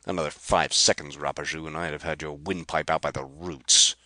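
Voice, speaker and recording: A man's voice: rumbling low, steady tone, fairly quick, pushed and bright, no room sound, exaggerated voice. A man voicing a defiant young male space pilot, gritty and clipped. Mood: angry.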